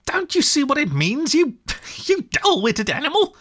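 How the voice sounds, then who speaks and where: An excitable male water rat shrieks his cries in a high, shrill voice, as a man puts him on for a storybook. His delivery leaps up and down with impatient energy. Eager and bossy, he keeps urging his friend on. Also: moderate pace, creaky, heavily acted. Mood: exasperated. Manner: impatient, triumphant exclamations.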